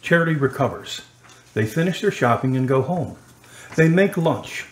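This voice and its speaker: Male narrator, medium pitch, plain, matter-of-fact, scripted radio play narration. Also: expressive, moderate pace.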